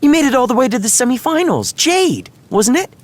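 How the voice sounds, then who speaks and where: An actor playing a friendly, humbled young town fighter in a video game, speaking informally and a little hesitantly. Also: male voice, very high-pitched, sing-song, fairly quick. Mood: friendly, surprised. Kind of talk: natural video game dialogue.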